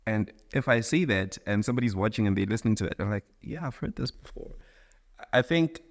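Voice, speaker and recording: Male musician guest from South Africa, deep voice, laid-back slangy delivery. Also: a little fast, creaky. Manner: relaxed, informal, uses slang. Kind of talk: casual interview chat.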